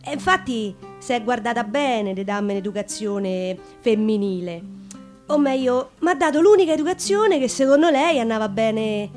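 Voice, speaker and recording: A woman's voice: on the high side, lively intonation, moderate pace, a little mellow, soft background music, lightly acted. An actress playing a wry, defiant Roman woman in a colloquial, ironic theatre monologue, with a Roman accent. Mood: wry, confiding.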